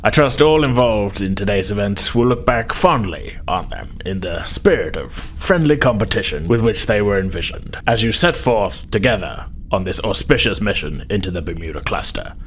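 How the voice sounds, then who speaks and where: A man voicing a gracious, formal admiral making a measured ceremonial speech in a tabletop game. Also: on the low side, lively intonation, a little hiss or hum, lightly acted.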